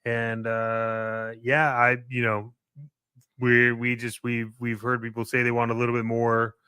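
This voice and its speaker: A man's voice: mid-range voice, completely flat delivery, a little slow, pushed and bright, smooth, dry recording, studio quality. A man co-hosting a podcast in casual promotional chat, informal and loose, feeling his way through his phrasing. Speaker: podcast co-host.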